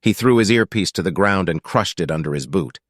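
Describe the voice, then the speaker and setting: A man's voice: deep voice, animated, fairly quick, dry recording, studio quality. A man reading scripted fiction as an audiobook narrator.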